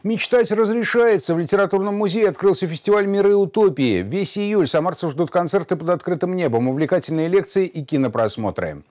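Male studio anchor, middle-aged, high and fast, formal bulletin read. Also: lively intonation, a little mellow. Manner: brisk, formal delivery. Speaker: news anchor. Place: TV news studio.

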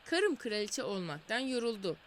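A woman's voice: medium pitch, sing-song, moderate pace, a little hiss or hum, close to an everyday voice. A woman voicing a meek, hesitant fisherman in a storybook reading, speaking in a timid, pleading way.

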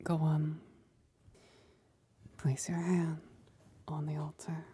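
A woman's voice: deep voice, little pitch movement, slow pace, dark tone, a little hiss or hum. A woman voicing a possessive demon companion in a whispered ASMR roleplay, seductive and commanding, soothing yet domineering. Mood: coaxing, commanding. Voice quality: breathy whisper.

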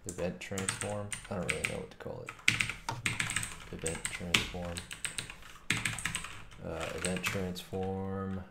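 A man in his thirties mutters through a coding stream in a deep, creaky voice. He talks slowly, in fragments, as if to himself. The room is echoey, with loud keyboard clicks behind him. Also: fairly even intonation, very noisy. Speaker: game developer live streamer. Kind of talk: casual live-coding stream, thinking aloud. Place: small home room, indoors. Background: typing and mouse clicks.

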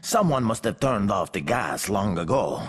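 Eccentric artist, theatrical, lowish voice with huge swings, game music behind. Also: male voice, big pitch swings, normal speed. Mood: grandiose.

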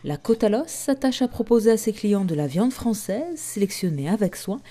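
A woman reporter reading a scripted voice-over for a local news piece in a measured way. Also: medium pitch, animated, mellow. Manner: measured, read-out delivery.

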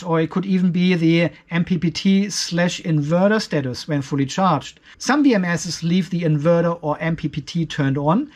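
High-pitched man explaining tech. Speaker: video host, off-grid tech reviewer. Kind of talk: explanatory tech review over a spreadsheet.

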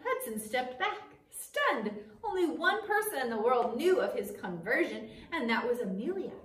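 Female storyteller, middle-aged, expressive read-aloud delivery; very roomy classroom sound, slightly distant mic. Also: high voice, normal speed. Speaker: teacher reading a book aloud. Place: small indoor classroom. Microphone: camera across a table.

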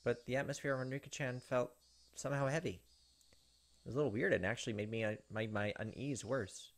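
A man's voice: medium pitch, fairly quick. A man, reading visual novel narration aloud with asides into a close microphone. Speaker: let's play host. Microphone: close desk microphone. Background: game music.

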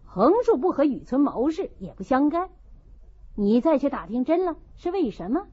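A grumbling young gentleman of a great house , played by a woman narrator in a storybook. He complains offhandedly with lively swings of pitch. The audio is a little dull. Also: moderate pace. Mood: wary. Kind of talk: audiobook character reading of a classical novel.

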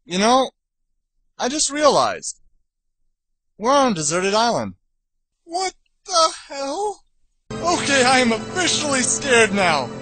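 A man voicing a snarky, excitable young male adventure hero in a parody cartoon, sarcastic and ranting theatrically. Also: extremely high pitch, dry recording, exaggerated voice. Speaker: amateur voice actor in a parody series. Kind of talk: comedic abridged-series cartoon dubbing.

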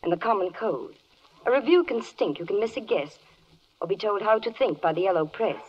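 A woman's voice: medium pitch, animated, brisk, slightly rough. An actress playing a poised, charming spokeswoman reciting lyrical verse in a 1940s radio play. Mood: wry, reciting. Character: poised, charming female spokeswoman for animal kingdom. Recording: muffled 1940s radio broadcast.

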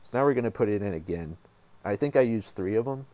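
A man with a somewhat low voice walks viewers through software as a tutorial host. His delivery is casual and informal, and he thinks aloud while he works. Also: lively intonation, quick pace, dry recording.

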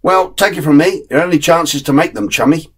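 A man doing a streetwise terrier dog, high and husky. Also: fairly quick, fairly bright tone. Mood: knowing. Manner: chatty, knowing, gives frank advice. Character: friendly streetwise male terrier, worldly and blunt.

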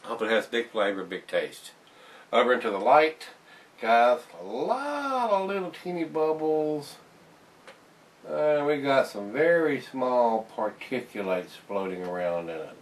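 A man's voice: mid-range voice, expressive, measured pace, far from the mic. Folksy middle-aged man reviewing beer. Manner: folksy, chatty, addresses viewers as guys. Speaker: beer reviewer, show host. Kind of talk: casual beer review vlog. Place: home room with glass shelves, indoors. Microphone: camera on tripod across the table.

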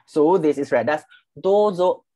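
A woman's voice: low-pitched, animated, a little slow, warm tone, dry recording. A woman from the Philippines teaching beginner Japanese in a mock interview over a video call, patiently repeating phrases and encouraging her student.

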